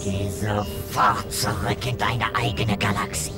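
An actor playing a menacing masked thief in a video game, threatening in a slow, drawn-out way. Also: male voice, fairly low pitch, completely flat delivery, natural voice. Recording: game audio with music behind.

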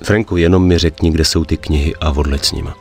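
A man's voice: a bass voice, steady tone, a little mellow, soft background music. A man playing a terse, grave mafia enforcer with a conscience in a dubbed video game. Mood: firm. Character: stern, conflicted male mafia enforcer with a conscience.

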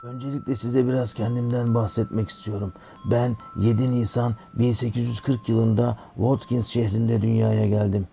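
A man as a gravelly, creaky-voiced cartoon music teacher. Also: medium pitch, flat delivery, normal speed, dark tone, close to an everyday voice. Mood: kindly, instructive. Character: older male music teacher, kindly and instructive. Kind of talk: scripted lesson narration for children.

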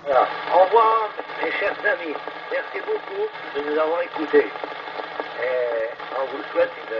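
Male radio ham, animated intonation, casual banter, heavy noise. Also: a little high, normal speed. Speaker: amateur radio operator. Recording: muffled, very noisy FM radio.

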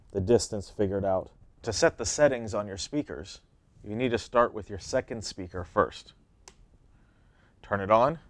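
A man's voice: fairly low pitch, no echo. A man in his thirties giving plain, step-by-step how-to instructions as an equipment demonstrator.

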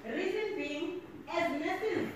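High-voiced young South African woman in an echoey hall. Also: takes it slowly. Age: 20s. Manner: emphatic, preacherly repetition; walks about the floor, gesturing as she speaks. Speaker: motivational speaker. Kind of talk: keynote speech to a conference room. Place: large conference hall, indoors. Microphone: handheld mic, heard from a distant camera. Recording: echoey hall audio from far off with background noise.

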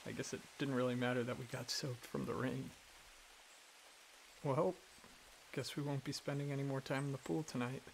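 An affectionate, playful male dragon, acted by a man speaks softly and intimately, as in an ASMR roleplay. The voice has a clear fry to it and a caring, reassuring manner. A faint hissy, natural background sits behind it. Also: medium pitch, little pitch movement, normal speed, long pauses, natural voice, low-quality recording. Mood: casual. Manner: soft-spoken, intimate, reassuring. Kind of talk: soft-spoken ASMR roleplay. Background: hiss, crickets and water or rain sounds.

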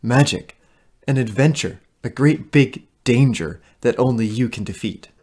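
An actor playing a seductive, menacing fae creature in an audio play, coaxing and taunting. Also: male voice, medium pitch, a little slow, a little mellow, slightly rough. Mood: menacing, tempting.

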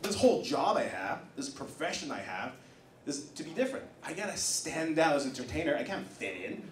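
Animated stand-up comic in a roomy club. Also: male voice, a little high, fairly quick, a little hiss or hum. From Taiwan. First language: Mandarin. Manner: animated punchline delivery. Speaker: stand-up comedian. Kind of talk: stand-up set. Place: comedy club stage. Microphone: handheld mic, far camera. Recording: roomy club sound from far off. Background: audience laughter.

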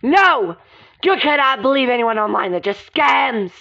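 A woman's voice: mid-range voice, moderate pace, a bit bright, natural voice. A woman voicing a hot-tempered, lovelorn young woman, aggrieved and dramatic, in amateur soap-opera role-play. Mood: indignant. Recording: dull lo-fi recording.

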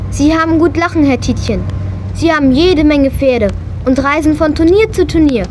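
A woman's voice: high-pitched, normal speed, darkish tone, a little hiss or hum, close to an everyday voice. A female actor playing an eager, horse-mad twelve-year-old girl in a children's radio play, in standard German. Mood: defensive, wistful.

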